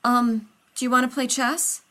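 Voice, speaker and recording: Woman reading as a fussy male scientist, rambling, loud, raised pitch. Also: fairly even intonation. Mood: flustered. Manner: fast, babbling, talks over others.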